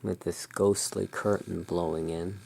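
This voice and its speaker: A hushed, soothing and unhurried voice in an ASMR roleplay, playing the owner of a gothic curiosity shop. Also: deep voice, fairly even intonation, vocal fry, husky. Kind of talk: ASMR roleplay, showing tarot cards.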